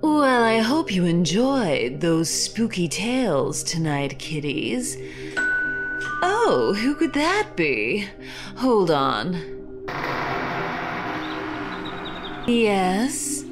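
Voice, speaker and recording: A woman's voice: medium pitch, sing-song, drawn-out words. A woman playing a macabre, vain, darkly playful horror hostess with a theatrical, arch drawl in a podcast skit. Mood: campy, sly. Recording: music behind the voice.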